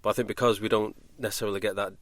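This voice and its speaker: Male podcast host, lowish voice, rapid and informal delivery, rambling casual chat, dry recording. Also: flat delivery, no echo.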